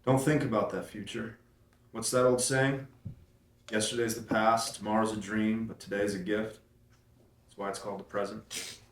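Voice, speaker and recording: A man's voice: lowish voice, steady tone, normal speed, long pauses, a little hiss or hum, lightly acted. Ethan, an actor reading a numbed haunted man. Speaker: actor in a table read. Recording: distant echoey pickup.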